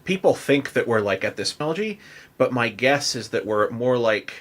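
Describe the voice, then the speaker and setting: A man's voice: mid-range voice, big pitch swings, moderate pace. An American man hosting a podcast, telling stories in a casual, rambling way full of filler "likes". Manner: rambling, frequent "like". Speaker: podcast host. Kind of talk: casual chat and storytelling.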